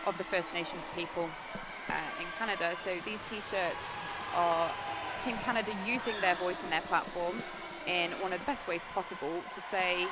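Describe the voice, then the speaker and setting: A woman from Britain does live roller derby commentary, explaining calmly and lifting with excitement as the action builds. Her voice sits in the middle of the range. Heavy venue noise fills the recording behind her. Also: fairly low pitch, normal speed, few pauses. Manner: earnest, explanatory, grows excited on plays. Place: sports venue.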